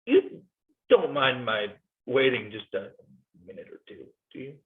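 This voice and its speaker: A man's voice: very animated, a little slow. A man reads a weary Swiss mercenary, nervous and wry, whose voice swings wildly up and down. The sound is thin and phone-like, as over a video call. He pleads and jokes in the same breath. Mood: pleading. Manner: nervous, wry and pleading, with jumpy pitch leaps. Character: weary, jittery Swiss mercenary soldier, middle-aged man. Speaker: cast reader in a play reading. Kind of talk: cold-read stage play reading.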